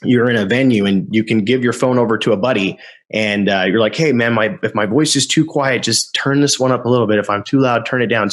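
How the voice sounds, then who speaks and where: A man explaining loudspeaker features in an enthusiastic sales-pitch manner, sometimes stumbling over a word. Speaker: product specialist. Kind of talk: product demo explanation.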